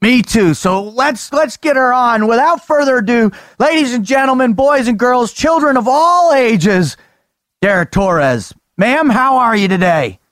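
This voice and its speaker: An excitable man hosts a podcast, stacking up emphatic lines and showman flourishes. His voice sits high for a man, and the sound is close and dry. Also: unhurried, no echo. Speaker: podcast host. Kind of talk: energetic podcast banter.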